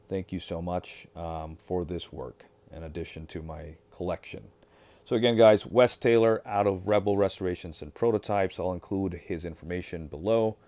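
A man casually showing a pocket knife to the camera at a table indoors, relaxed and unhurried, with fillers and trailing sentences. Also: low voice. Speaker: knife collector reviewer. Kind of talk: casual show-and-tell. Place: indoors at a cutting-mat table. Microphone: overhead camera on hands, voice from off-frame.

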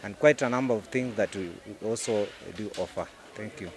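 A middle-aged man with a Kenyan accent, a store manager giving promotional interview answers in short, halting phrases into a handheld mic. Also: on the low side, slight background noise. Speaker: supermarket branch manager, interviewee. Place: inside a supermarket. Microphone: handheld microphone. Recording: faint music behind the voice. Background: faint music.

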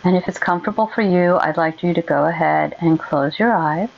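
A woman leading a guided meditation in a gentle, soothing, unhurried way with long pauses. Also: fairly low pitch, vocal fry, highs cut off.

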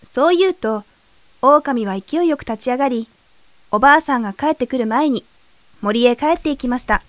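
A woman's voice: high voice, lively intonation, normal speed, no echo. A woman narrator reading a scripted fairy tale in a polite, slightly wry storytelling manner. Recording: music behind the voice.

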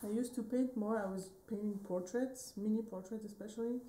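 Dark-voiced woman in her thirties chatting. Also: medium pitch, fairly quick, rough recording. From Switzerland. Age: 30s. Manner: relaxed, rambling with many fillers and self-corrections. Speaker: painting vlogger. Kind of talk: casual tutorial chat. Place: home studio room, indoors, wooden shelves. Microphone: phone camera at desk distance.

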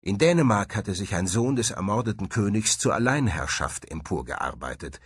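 A man's voice: lowish voice, big pitch swings, moderate pace, slightly rough, no room sound. A man delivering scripted narration for a historical documentary.

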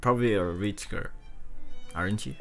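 A man in his twenties from Indonesia, chatting softly and teasingly with strangers on a video call from a small bedroom. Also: fairly low pitch, normal speed, faint music behind. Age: 20s. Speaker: host. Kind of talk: casual video chat with strangers, singing with guitar. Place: small bedroom with teal walls. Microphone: earphone mic on a video call.

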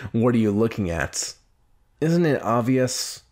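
A man playing a brash, gruff young anime hero who hides his fears, in a halting, defensive, intimate ASMR roleplay. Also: mid-range voice, big pitch swings, darkish tone. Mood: gruff, bossy. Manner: halting, defensive, huffy, with sighs.

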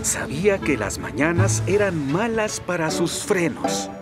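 A man's voice: high voice, sing-song, measured pace. A man with a Latin American Spanish accent narrating a scripted children's story. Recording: loud music behind the voice.

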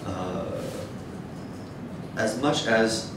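Male academic, deep voice, measured and hesitant lecture delivery, recorded from afar in a reverberant room. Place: dim wood-shelved seminar room with audience, indoors. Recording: echoey room, distant mic, noisy rumble.

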